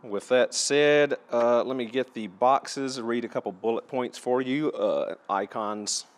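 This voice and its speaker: A man's voice: mid-range voice, a little slow. A man giving a casual, matter-of-fact and methodical product review as he presents tools.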